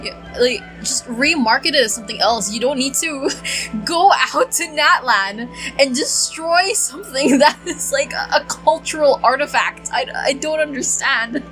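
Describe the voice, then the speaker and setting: Bright-voiced, exasperated woman gamer. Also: high-pitched, soft background music, low-quality recording. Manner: halting, repetitive, exasperated, swears freely. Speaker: gaming streamer. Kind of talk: casual reactive game commentary.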